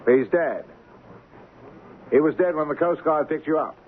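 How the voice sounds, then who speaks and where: An actor playing a haughty, callous shipping company boss with a formal, condescending manner in an old-time radio drama. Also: male voice, on the high side, a little slow, natural voice. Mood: tense, indignant. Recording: lo-fi, noisy radio drama recording.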